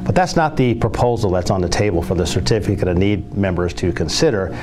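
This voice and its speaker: A man speaking as a hospital representative, delivering a prepared, persuasive public address in a measured, formal, explanatory manner. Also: low-pitched, lively intonation, background noise.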